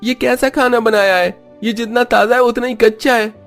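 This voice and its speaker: A man's voice: very high-pitched, fairly even intonation, moderate pace, a bit bright. A man voicing an annoyed, accusatory husband in a cartoon story. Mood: disgusted. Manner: complaining, accusatory. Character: annoyed husband fed up with bad food. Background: background music.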